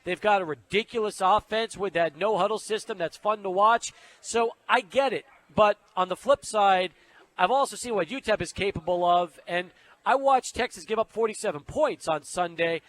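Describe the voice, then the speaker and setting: A man's voice: high-pitched, moderate pace, a bit bright. A man hosting a sports radio show, chatty and conversational, building up to his questions with long run-on lead-ins. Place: radio studio.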